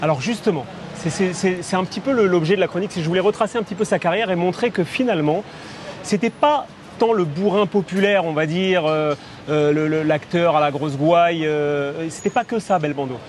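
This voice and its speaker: Film columnist, high-pitched man, eager delivery, faint outdoor street noise. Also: a little fast. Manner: enthusiastic, with many restarts. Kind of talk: talk show segment. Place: outdoors at a café terrace.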